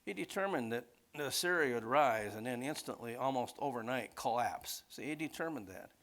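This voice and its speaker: A man teaches like a preacher leading an informal Bible class. His voice sits at an ordinary middle pitch. He rambles along conversationally without a script, regularly checking that listeners follow. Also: animated, normal speed, fairly bright tone. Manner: rambling, conversational, often checking in with "okay?", "see" and "right?". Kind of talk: informal teaching sermon, off the cuff.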